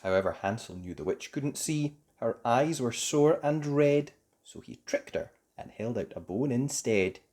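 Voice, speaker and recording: A children's story reader narrating a fairy tale. The delivery is theatrical and emphatic, with very lively rises and falls in pitch. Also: unhurried. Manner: theatrical, emphatic for young listeners. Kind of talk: expressive scripted storybook narration.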